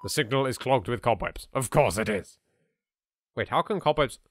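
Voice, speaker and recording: A man with a British accent giving casual, excitable gameplay commentary, self-deprecating and repeating himself. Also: medium pitch, moderate pace, no room sound. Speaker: let's play host. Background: game music.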